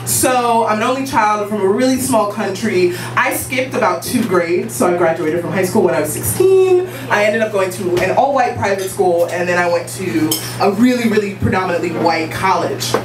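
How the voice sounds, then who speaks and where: A woman's voice: mid-range voice, expressive, normal speed, hardly any pauses. A woman in her late twenties from Guyana, telling a personal story on stage in a confident, playful, conversational way. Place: live storytelling show in a room. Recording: roomy, distant, noisy live room.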